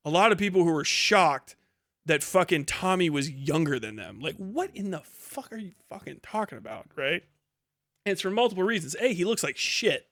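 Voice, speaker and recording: A man's voice: fairly high pitch, lively intonation, moderate pace, fairly bright tone, no echo, clean studio sound. A man in his thirties doing casual livestream commentary and reading chat in a blunt, sweary, incredulous way. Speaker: live streamer.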